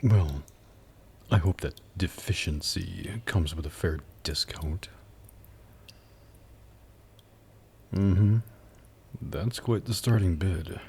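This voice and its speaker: A man playing a suave, haughty demon buyer in an ASMR roleplay, speaking in a low, husky, gravelly voice with teasing, sardonic pauses. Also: warm tone, a little hiss or hum, exaggerated voice. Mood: intrigued, calculating. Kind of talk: ASMR roleplay audio drama.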